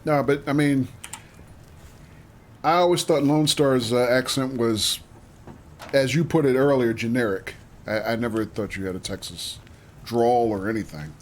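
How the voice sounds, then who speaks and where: A man's voice: mid-range voice, measured pace, long pauses, a little hiss or hum. A man streaming a game live, chatting casually with viewers, reading out their messages and greeting them by name. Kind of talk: casual livestream chat while playing.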